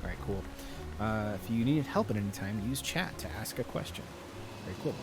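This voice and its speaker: A man's voice: lowish voice, expressive, fairly quick. A man with a sniffly voice from allergies, chatting casually as he plays a game and reads the quest text aloud. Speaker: podcast host playing a game. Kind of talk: casual gameplay commentary. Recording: voice over game music. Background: game music.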